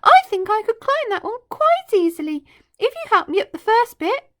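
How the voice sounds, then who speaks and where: A woman voicing an excited young boy in a children's storybook reading. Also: very high voice, moderate pace, voice pushed up, heavily acted. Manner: excited, breathless eagerness.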